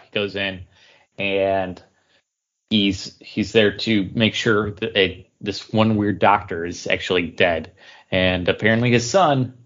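A man's voice: fairly low pitch, fairly bright tone, lo-fi sound. A man co-hosting a podcast, recapping and discussing casually, often searching for his words. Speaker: comics podcast co-host.

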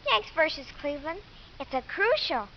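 A high-pitched, precocious schoolgirl in a 1950s radio play. She wisecracks matter-of-factly like a streetwise kid. The old recording is muffled, with light hiss. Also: big pitch swings, normal speed. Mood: breezy, cheeky. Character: precocious, cheeky eleven-year-old girl, streetwise schoolgirl.

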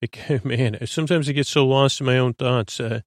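A man with a creaky voice hosting a bedtime podcast, soothing and meandering, stammering as he drifts off into tangents. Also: medium pitch, steady tone, normal speed, dry recording, studio quality. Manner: soothing, lulling delivery. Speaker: podcast host. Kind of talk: rambling bedtime monologue.

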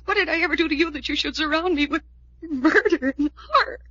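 A woman's voice: high voice, a little fast, bright, forceful tone. An actress playing an anguished, disbelieving woman betrayed by a gangster, pleading tearfully in old-time radio melodrama. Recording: muffled vintage radio recording.

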